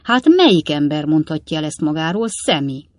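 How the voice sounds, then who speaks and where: A woman's voice: sits low, sing-song, darkish tone, dry recording. A woman voicing an old coachman father in a storybook reading, grumbling and reasoning pompously with emphatic indignation. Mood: argumentative. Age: older.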